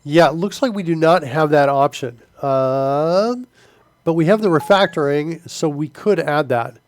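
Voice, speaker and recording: A man's voice: fairly high pitch, measured pace, dry recording. A man with a stuffy nose hosting a live coding stream, chatting loosely with viewers and using many fillers as he works. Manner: loose and filler-heavy, answers chat as he works. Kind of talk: casual live-stream chat while coding.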